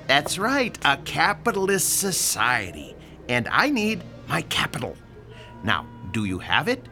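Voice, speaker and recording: A man's voice: fairly high pitch, huge pitch swings, unhurried, faint music behind, heavily acted. An actor playing a pushy, sarcastic older landlord in a radio drama, lecturing his tenant about rent. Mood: needling. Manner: sarcastic, lecturing, theatrical swings from shouting to hushed.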